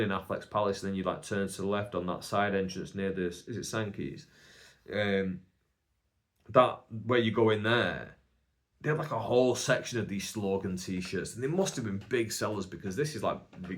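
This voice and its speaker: Young Bolton comic, solo podcast host: rambling, self-deprecating, slightly low register. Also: male voice. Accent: Northern English, Bolton. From England. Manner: chatty, rambling, self-mocking, many "like" fillers. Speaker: podcast host and stand-up comedian. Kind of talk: casual solo podcast monologue.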